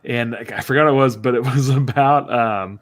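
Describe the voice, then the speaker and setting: A man's voice: mid-range voice, brisk. Chuckling man chatting casually. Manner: chuckles often while talking. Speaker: podcast co-host. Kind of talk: casual chat about films.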